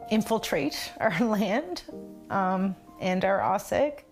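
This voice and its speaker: A woman's voice: medium pitch, expressive, slow pace, soft background music. A woman telling her community's history as a documentary interviewee, reflective and conversational.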